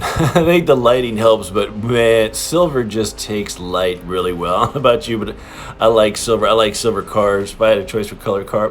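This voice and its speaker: A man chatting casually through a toy unboxing and review, with an excitable, giggly fan's enthusiasm. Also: lowish voice. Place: indoors in an apartment.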